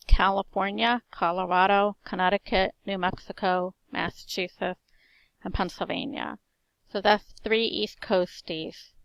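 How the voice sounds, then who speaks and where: A woman's voice: medium pitch, gravelly, dry recording, low-quality recording. A woman in her forties, a teacher, introducing herself in a strong voice that carries, with a slight slur on her S sounds. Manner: lists things in short even phrases. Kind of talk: personal self-introduction monologue.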